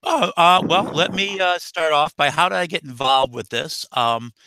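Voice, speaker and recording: Halting American man with a bright voice. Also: a little high, a little hiss or hum. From United States. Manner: halting, many uh and um fillers. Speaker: guest expert, nuclear engineer. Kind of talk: answering interview questions.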